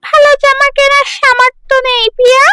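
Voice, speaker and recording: A woman voicing a nosy, haughty young village girl in a children's cartoon, with a shrill, needling manner. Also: level tone, brisk, bright, forceful tone, no echo, studio quality, soft background music. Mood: taunting, suspicious. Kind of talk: children's cartoon fairy-tale voice acting.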